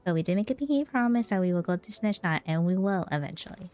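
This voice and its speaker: A woman's voice: on the low side, expressive, quick pace, a little mellow. A woman doing casual livestream commentary as a game streamer, reacting in an informal, rambling way. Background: game music.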